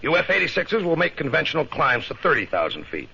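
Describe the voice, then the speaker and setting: An actor in a 1950s radio drama playing a gruff, authoritative Air Force colonel who is protective of his men, with a husky voice. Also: on the high side, wildly swooping pitch, sharp tone, full character voice. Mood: briskly commanding. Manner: brisk, authoritative, barking orders. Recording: dull vintage 1950s radio recording.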